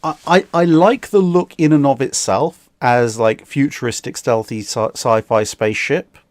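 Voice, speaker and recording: A man talking casually as a guest commentator, giving opinions in a measured, analytical way. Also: mid-range voice, no echo. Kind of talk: casual discussion.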